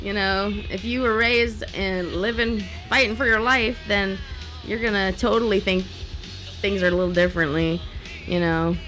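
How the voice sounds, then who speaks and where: Laughing, swearing young woman, bright voice. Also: medium pitch, normal speed, soft background music, rough recording. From New Orleans, US. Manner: laughing, swearing freely, animated storytelling. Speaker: podcast guest, singer-songwriter. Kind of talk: casual chat.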